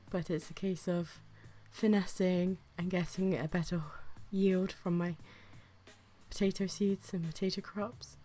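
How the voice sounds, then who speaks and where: A woman talks through her gardening vlog in a low, flu-roughened voice, her breathing audible. She keeps it chatty and self-deprecating, with the odd whispered aside. Faint music plays under her narration. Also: dark tone. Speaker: gardening vlogger.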